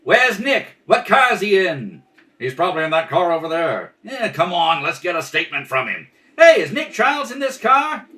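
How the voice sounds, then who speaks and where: A pushy newsman from an old radio play, put on by a man, eager and pressing. His voice is pitched high and very animated, a little off the mic. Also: normal speed, exaggerated voice. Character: pushy, eager male newspaper reporters mobbing a celebrity. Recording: home kitchen, distant mic.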